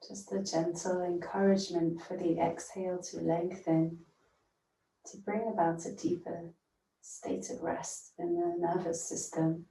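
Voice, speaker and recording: Slow, low-voiced woman guiding meditation. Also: little pitch movement. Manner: gentle, soothing, unhurried with long pauses. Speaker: yoga nidra teacher. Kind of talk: guided meditation. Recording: roomy echo, distant from mic.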